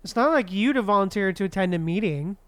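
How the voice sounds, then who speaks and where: A woman's voice: mid-range voice, animated, fast, slight background noise. A woman streaming a game, reacting casually and chattily and reading lines aloud.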